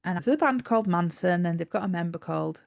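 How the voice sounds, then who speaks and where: Gravelly Yorkshire woman chatting. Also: on the low side, lively intonation, fast talker, no echo, studio quality. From Yorkshire, England. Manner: thoughtful, explaining with frequent fillers and restarts. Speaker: podcast co-host. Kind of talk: casual podcast chat.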